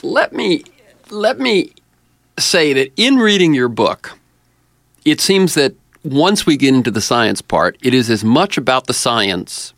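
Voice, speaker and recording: A man's voice: mid-range voice, very animated, measured pace, creaky, fairly bright tone, no room sound, studio quality. A man conducts a conversational interview, searching for words and rephrasing his questions as he goes.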